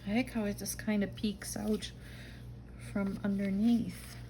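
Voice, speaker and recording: A middle-aged woman with a Dutch accent talks through her crafting at an unhurried pace, musing aloud and slipping into soft murmurs. Her voice sits at an ordinary middle pitch. A faint hiss of room noise stays under her voice. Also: little pitch movement. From Netherlands. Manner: chatty, talks to herself while working, soft murmurs and whispers. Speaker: junk journal crafter. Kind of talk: casual craft-along narration.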